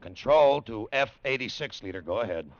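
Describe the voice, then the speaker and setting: A man playing a gruff but fond American colonel running flight control in a 1950s radio drama, speaking in clipped, slangy commands. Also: medium pitch, lively intonation, normal speed, lightly acted. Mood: steady. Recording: dull vintage radio recording.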